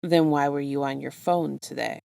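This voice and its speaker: A woman voicing a stern, weary male teacher in a deadpan, measured way while reading a story. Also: low voice, vocal fry, dry recording, studio quality. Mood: concerned.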